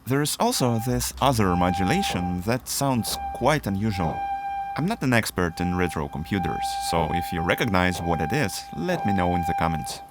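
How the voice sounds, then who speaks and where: A man with a fairly low voice narrates a scripted how-to video. His intonation is very varied and animated. He explains things methodically, step by step, as the host of the tutorial. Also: normal speed, loud music behind the voice.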